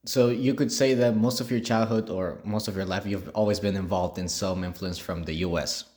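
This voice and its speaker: A man's voice: low-pitched, steady tone, moderate pace, darkish tone. A young man interviewing for a class project, casual, with frequent likes and restarts. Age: young adult. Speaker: student interviewer.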